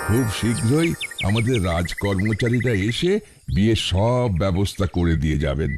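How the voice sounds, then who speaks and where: An actor playing a deferential royal minister in a fairy-tale drama, speaking politely and formally. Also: male voice, medium pitch, lightly acted. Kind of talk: Bengali fairy-tale audio drama acting.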